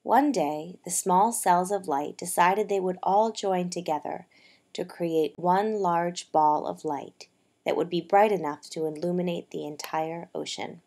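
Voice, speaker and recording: Low-voiced woman telling a story. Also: a little slow, a little mellow. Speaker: storyteller narrator. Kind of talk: slow poetic storytelling narration for a shadow puppet show.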